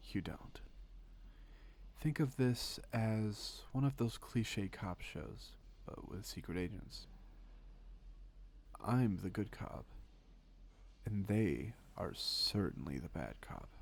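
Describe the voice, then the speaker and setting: A man playing a suave, teasing secret agent in an audio roleplay, speaking with wry politeness and calm menace in a creaky voice. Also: fairly low pitch, full character voice. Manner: polite, wry, unhurried conversational patter with pointed pauses. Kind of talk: scripted audio roleplay, intimate one-sided dialogue.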